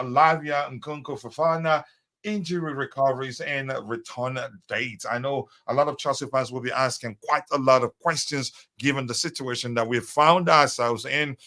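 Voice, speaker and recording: A man's voice: medium pitch, fairly bright tone. A man hosting a live fan show, chatting casually with many fillers and greeting viewers by name. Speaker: host of a live fan stream. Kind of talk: casual live-stream chat.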